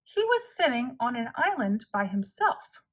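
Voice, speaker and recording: A woman narrating a children's storybook aloud in a measured, clearly phrased way. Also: a little high, sing-song, fairly bright tone. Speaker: storybook reader.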